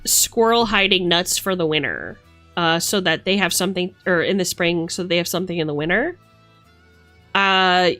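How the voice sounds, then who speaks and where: A woman's voice: mid-range voice, fairly bright tone. A woman livestreamer chatting casually and affectionately, thinking aloud in a playful way as she reads tarot cards.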